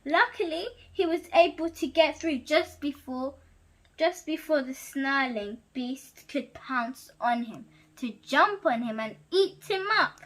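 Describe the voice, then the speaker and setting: A woman's voice: high voice, a little hiss or hum, poor audio. A female host reading a story aloud expressively, with exclaimed asides to the viewers. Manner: animated, exclaiming reactions.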